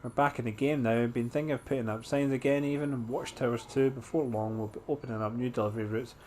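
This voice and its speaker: A man's voice: mid-range voice, steady tone, fast, a little mellow, a little hiss or hum. A man hosting a gameplay walkthrough, commenting and reading aloud in an informal way.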